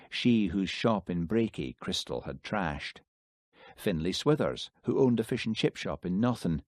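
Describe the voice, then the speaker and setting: A male audiobook narrator who reads a crime novel in a steady, even way. His voice sits low and sounds dry and close to the mic. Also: no echo. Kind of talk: scripted narration.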